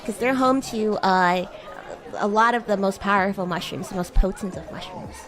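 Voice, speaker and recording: A woman's voice: mid-range voice, normal speed, music in the background, a crowd in the background. A woman streaming an improvised roleplay as a bratty, playful demon schoolgirl, chatting teasingly with her listener. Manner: teasing, giggly, addresses listener as human. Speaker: VTuber streamer in character, chatting with viewers. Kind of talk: improvised in-character roleplay livestream chat.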